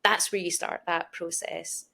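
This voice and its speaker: A woman's voice: lowish voice, steady tone, unhurried, creaky, bright, forceful tone. Glaswegian woman hosting a conversation. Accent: Glasgow Scottish. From Scotland. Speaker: podcast host and interviewer. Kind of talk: conversational interview.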